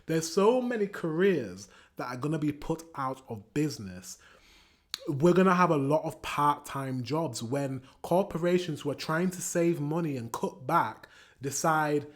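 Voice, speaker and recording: A British man with a hoarse, raspy voice talks casually to his viewers, circling back and restarting as he thinks aloud. His voice sits a little higher than most men's. He sounds like he is losing his voice. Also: expressive, measured pace. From Britain. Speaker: reaction video host. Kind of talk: casual commentary to camera.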